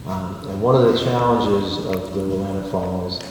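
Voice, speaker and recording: Guy hosting a community forum in a big wooden hall, low voice, lots of uh's, sounds far off with long echo. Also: fairly even intonation, darkish tone, soft background music. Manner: halting, many fillers and restarts. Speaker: forum host. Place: large wood-paneled hall with wood floor. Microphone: handheld mic, heard from a far camera.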